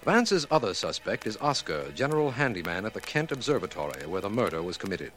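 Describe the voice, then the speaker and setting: An actor playing a district attorney who narrates a murder case in scripted 1940s radio style. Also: male voice, fairly low pitch, sing-song, a little fast, a bit bright. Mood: dramatic, expository. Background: music.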